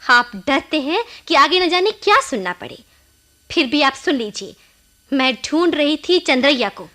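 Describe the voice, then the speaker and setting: A woman's voice: sits high, very animated, measured pace, pushed and bright, exaggerated voice. A woman acting an anxious, defiant, wounded young village wife in a Hindi radio play, with dramatic, pointed delivery. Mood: bitter, defiant. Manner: dramatic, pointed, emotionally charged.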